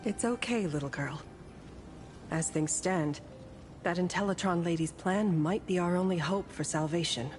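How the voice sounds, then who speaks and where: An ancient female painter from a video game, acted by a woman, composed and knowing. She speaks in assured, measured phrases in a fairly low voice, over clear music. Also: a little mellow, lightly acted. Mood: reassuring.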